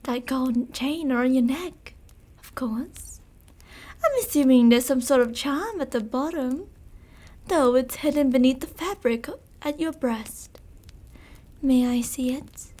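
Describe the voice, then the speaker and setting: Slow, high-voiced seductive vampire woman, acted by a woman. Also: a little mellow. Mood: silky, menacing. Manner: slow, teasing, mock-courteous, archly formal, drifting into whispers. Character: sadistic, possessive, seductive vampire noblewoman, predatory and teasing. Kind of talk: ASMR roleplay, intimate scripted monologue.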